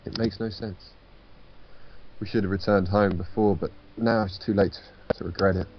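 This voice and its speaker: A man with a low voice reads spooky game narration aloud, casually and with the odd restart. The recording is dull, with faint noise underneath. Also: steady tone, normal speed. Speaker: guest co-reader on gaming video. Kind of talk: reading visual novel narration aloud with casual asides.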